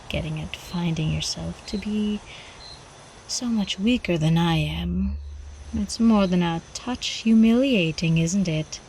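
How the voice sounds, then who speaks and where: A woman playing a predatory older vampire countess in an ASMR roleplay, haughty, teasing and possessive, with breathy drawling speech that often drops into whispers. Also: mid-range voice, dark tone, a little hiss or hum, rough recording. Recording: faint night insect ambience behind.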